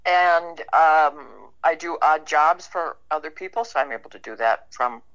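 Bright, low-voiced woman on dull lo-fi audio. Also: a little slow. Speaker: interview guest, performer. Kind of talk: casual conversational chat.

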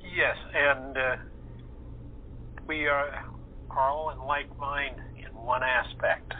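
A man's voice: medium pitch, steady tone. Phone sounding guy, bit of hiss, chatting casually, stops and starts, thoughtful. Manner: halting, reflective. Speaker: voice actor, interview guest. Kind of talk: casual chat. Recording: phone-like line with faint noise.

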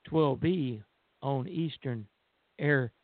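A man with a creaky, gravelly voice takes his time over each phrase. He co-hosts a call-in radio show, and he comes through on a narrow, phone-like line. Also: mid-range voice, extremely slow. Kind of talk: poem recitation, then casual show talk. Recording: band-limited phone line with echo.

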